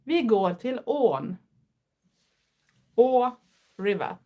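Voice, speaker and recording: A woman's voice: a little high, very animated, very slow, phone-quality sound. A Swedish woman in her fifties with a Swedish accent, chatting earnestly to her viewers in English with small grammar slips. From Sweden. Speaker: livestream host. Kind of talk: casual chat.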